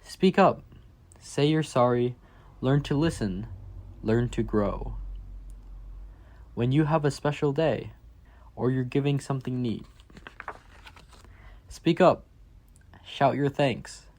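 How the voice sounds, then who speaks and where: A man reads a children's picture book aloud at an unhurried, slow pace. His voice sits in a middle register, and he lifts it emphatically on key phrases for an expressive storytime delivery. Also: mid-range voice. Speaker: reader for a children's reading program.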